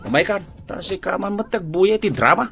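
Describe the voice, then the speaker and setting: A man's voice: high-pitched, expressive, brisk, creaky, over music. A man playing an easygoing man chatting at home, in a radio drama. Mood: chatty.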